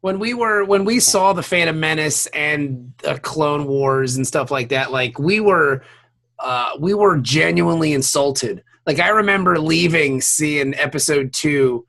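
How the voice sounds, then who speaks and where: Male co-host, high bright voice, excitable casual storyteller who exaggerates freely. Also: measured pace, creaky. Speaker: podcast co-host. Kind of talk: casual animated chat and anecdotes.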